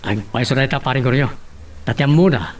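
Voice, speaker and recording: A man's voice: mid-range voice, fast, close to an everyday voice. An actor with an Ilocano accent playing a worried, respectful grown man in an audio drama. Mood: uneasy. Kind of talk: Ilocano radio-style drama acting.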